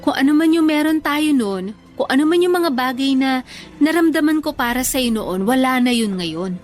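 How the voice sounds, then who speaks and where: A woman's voice: high voice, animated, normal speed, faint music behind. A woman playing a weary, blunt ex-girlfriend in a radio drama, firm and exasperated. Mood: firm, resigned. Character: weary, blunt ex-girlfriend firmly ending a long relationship. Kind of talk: emotional radio drama dialogue.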